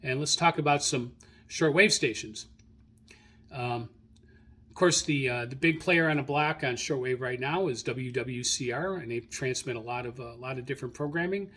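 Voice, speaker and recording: A man hosting a radio hobby show, informally reading through his logs in a halting, self-correcting way as he searches his notes. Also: mid-range voice, a bit bright. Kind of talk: informal spoken log rundown, unscripted.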